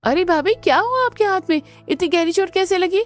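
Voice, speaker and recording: A woman's voice: very high-pitched, lively intonation, fairly quick. A woman voicing a protective, spirited young sister-in-law with bold, emphatic delivery in a cartoon family melodrama. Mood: alarmed, concerned.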